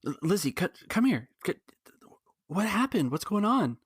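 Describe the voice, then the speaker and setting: A man playing a big, kindly alien bartender in a tabletop game, halting, concerned and reassuring. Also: high voice, very animated, slightly rough, voice pushed up, exaggerated voice. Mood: alarmed, concerned. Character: tall, burly, kindly male alien bartender, consoling.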